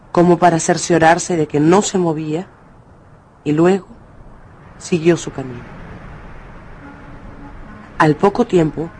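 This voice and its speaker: A man's voice: high-pitched, darkish tone, a little hiss or hum. A man narrating a radio novel in a scripted, dramatic storytelling style.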